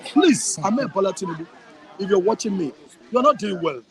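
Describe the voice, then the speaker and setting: A man with a Nigerian accent and a high voice talks with passion and emphasis. He circles back over his phrases to drive a point home. He is speaking outdoors in a town. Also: expressive, normal speed, crowd noise. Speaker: guest. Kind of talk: impassioned interview answers.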